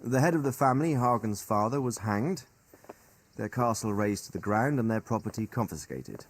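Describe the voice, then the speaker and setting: A seasoned royal commander in a game drama. His speech is measured and articulate, with a very lively, varied melody that carries stern authority. He chooses formal words and sets them out deliberately. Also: fairly low pitch, low-quality recording. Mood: grave, recounting. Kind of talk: dramatic video game cutscene dialogue.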